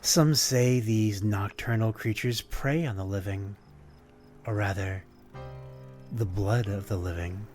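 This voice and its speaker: Man playing a slow, brooding, courtly vampire. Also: lowish voice, darkish tone, soft background music. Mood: quietly menacing. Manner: old-fashioned, polite, brooding, addressing one listener intimately. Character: melancholy, courtly male vampire stranger, softly predatory. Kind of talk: ASMR roleplay, dramatic monologue.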